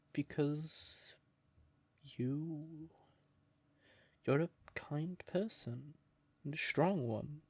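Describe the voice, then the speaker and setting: Slow, shy crow turned human, acted by a voice actor. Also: medium pitch, darkish tone, dry recording. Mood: anxious, tender. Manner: hesitant, flustered stammering. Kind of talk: intimate comfort roleplay audio acting.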